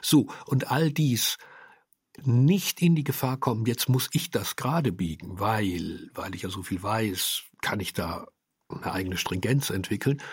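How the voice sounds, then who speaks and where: A male radio drama director talking reflectively in an interview, with emphatic, halting phrasing. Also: medium pitch, husky, no room sound, studio quality.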